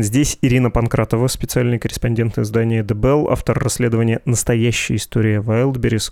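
A man's voice: medium pitch, darkish tone, no room sound, studio quality. A man hosting a podcast in a conversational style, wordy and wry with playful asides. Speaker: podcast host.

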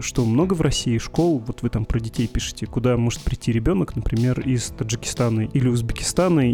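A podcast host from the Perm region answering listener letters in a dry, ironic, argumentative way. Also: male voice, medium pitch, darkish tone. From Perm region, Russia. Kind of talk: reading and answering listener mail.

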